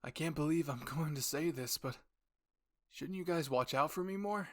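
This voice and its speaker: A man's voice: fairly high pitch, normal speed, voice pushed up, lightly acted. Man playing a brash, grumbling teenage boy soldier.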